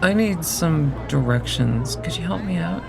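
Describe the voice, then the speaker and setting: Woman playing confused young vampire girl in audio drama, slow hesitant talk, bit of vocal fry, music behind. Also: deep voice, lively intonation, crowd noise, full character voice. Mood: awkward, polite. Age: young adult. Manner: hesitant, halting.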